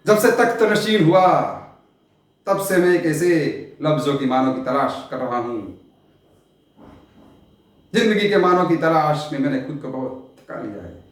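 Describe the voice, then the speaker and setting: In a heavily reverberant space, a man acts out a solitary, world-weary sultan in a stage play. His fairly high male voice moves slowly and grandly through poetic lines. The delivery is theatrical and brooding, with long pauses and sudden swells. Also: animated. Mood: weary, searching. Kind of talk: Hindi stage play monologue, poetic Urdu diction. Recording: very echoey, reverberant room.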